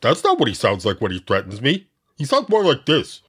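A man playing a fussy, put-upon villain's minion, hesitant and polite, with a husky voice. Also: mid-range voice, huge pitch swings, brisk, fairly bright tone, full character voice. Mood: deadpan.

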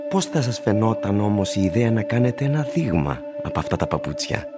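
An actor playing an ageing, plain-spoken shoe shiner in a radio play, courteous and gravely cautioning, with a husky, gravelly voice. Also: male voice, lowish voice, sing-song, loud music behind the voice. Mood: earnest urging. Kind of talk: radio drama acting.

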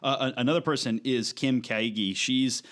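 A man's voice: mid-range voice, steady tone, normal speed, fairly bright tone. A man hosting a political talk show, framing long questions and repeating words as he goes. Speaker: radio talk show host.

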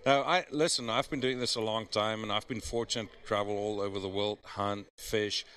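Guest hunter, nonnative English speaker, lowish voice, earnest and persuasive, stumbles and restarts. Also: male voice, steady tone, moderate pace, fairly bright tone, clear, resonant tone. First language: not English. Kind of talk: casual interview talk.

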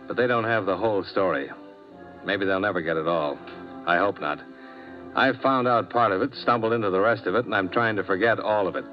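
A man's voice: lowish voice, lightly acted. An actor playing a wry, hard-boiled male insurance investigator who narrates a 1950s radio drama. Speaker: narrator and lead. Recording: lo-fi vintage radio recording with music.

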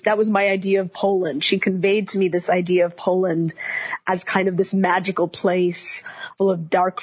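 A woman's voice: medium pitch, breathy edge. A woman guest in an interview, talking thoughtfully and searching for the right words as she recalls her upbringing. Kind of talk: reflective conversational storytelling. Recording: muffled lo-fi recording.

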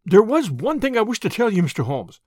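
A man's voice: high-pitched, big pitch swings, a little fast, a little mellow, dry recording, studio quality, pitched above the performer's own voice. A man voicing an anxious, deferential secretary in an audiobook story, earnest, apologetic and urgent. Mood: earnest confiding.

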